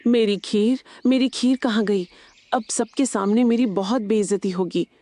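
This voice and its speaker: A woman's voice: a little high, a little slow, warm tone, no echo, natural voice. A woman voicing a fretful, dramatic female bird in a children's cartoon. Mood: distressed. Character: anxious, excitable female bird who cooks. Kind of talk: Hindi children's cartoon dub.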